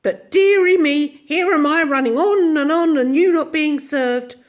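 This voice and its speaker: A woman voicing a tiny, ancient, bossy old shopkeeper with a shrill, squeaky voice that swings between sweetness and soft menace. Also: unhurried. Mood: chatty.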